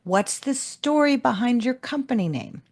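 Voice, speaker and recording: A woman co-hosting a business webinar, explaining homework questions in a calm, measured teaching manner. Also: fairly high pitch. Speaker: webinar co-host, leadership coach. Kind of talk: instructional webinar talk.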